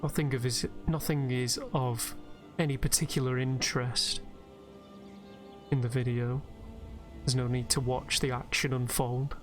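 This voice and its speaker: Slow, gentle man guiding relaxation. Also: mid-range voice, flat delivery. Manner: gentle, unhurried, drawn-out phrasing with pauses. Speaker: guided relaxation host and gamer. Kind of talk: soothing guided meditation narration over gameplay. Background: soft background music from a video game.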